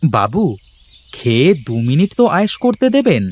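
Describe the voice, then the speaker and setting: A man's voice: on the high side, big pitch swings, moderate pace, darkish tone. A man voicing a stubborn, plain-spoken farm labourer who respectfully protests to his employer in a cartoon folk tale. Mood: protesting.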